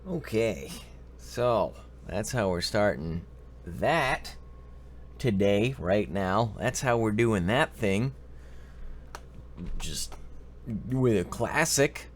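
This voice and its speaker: A man's voice: lowish voice, lively intonation, drawn-out words, long pauses, a little hiss or hum. A man with a stuffy cold hosting a casual live stream, rambling and joking as he greets chat viewers by name. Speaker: livestream advice show host.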